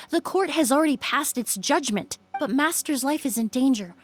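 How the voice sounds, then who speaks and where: An actress playing a polite, measured young female perfumer in a video game. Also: on the high side, close to an everyday voice.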